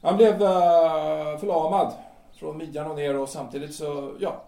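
A man's voice: on the high side, fairly even intonation, gravelly, mellow. A man acting in an audio drama as a blunt, casual thirtyish man, hesitant and matter-of-fact. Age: 30s. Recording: roomy, distant, noisy amateur-sounding recording.

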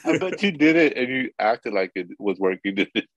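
A man's voice: mid-range voice, very animated, a little fast, no echo, lo-fi sound. A man co-hosting a podcast, chatting casually and teasing his friends with frequent laughs. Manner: joking, teasing, laughs often. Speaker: podcast co-host. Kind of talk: casual chat.

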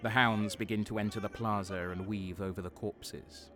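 A man playing a cold, sadistic soldier who narrates a dark war story with dramatic deliberation. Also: fairly low pitch, steady tone, moderate pace, natural voice. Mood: grim, detached. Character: cold-hearted, sadistic young male soldier turned traitor. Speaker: narrator and lead character.

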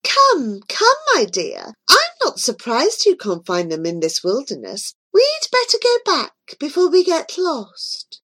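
A woman voicing a doting, possessive sorcerer's queen in a storybook reading, with a sweet, coaxing, high sing-song manner. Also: wildly swooping pitch, measured pace, hardly any pauses, sharp tone, exaggerated voice.

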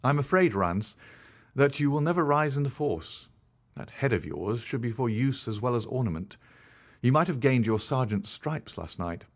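A man voicing a sharp, clever male detective who asks quick, commanding questions. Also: mid-range voice, animated, pitched above the performer's own voice. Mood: brisk. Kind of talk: audiobook character voice.

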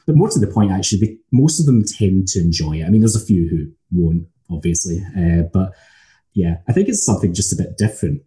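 Scottish man, academic, very dark tone, lowish voice, measured, thoughtful, frequent pauses. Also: expressive. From Scotland. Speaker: academic lecturer. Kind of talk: casual academic conversation.

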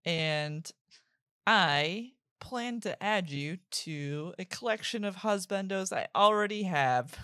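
A woman's voice: mid-range voice, expressive, slow, fairly bright tone, no echo, studio quality. A woman guest on a podcast, giggly, playing along with improvised dating show banter.

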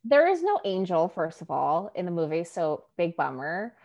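A fairly low-pitched woman from New York, Spanish her first language, talking in an easygoing podcast chat. She sounds enthusiastic and keeps peppering her sentences with filler words. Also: sing-song, moderate pace, a crowd in the background. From New York, United States. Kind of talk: casual chat.

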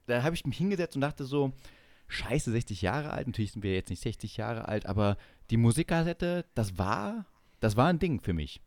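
A man in his forties from East Germany talks as a podcast co-host in a casual, storytelling way. His voice sits at a medium male pitch and he likes teasing banter. The sound is dry and close, with very little room. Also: sing-song, normal speed, a little mellow, dry recording. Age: 40s.

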